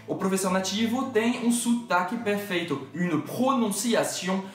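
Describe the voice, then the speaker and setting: A man's voice: high voice, measured pace. A native French man from France with a French accent, explaining things as a teacher and co-host in a playful debate. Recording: roomy echo, faint music behind.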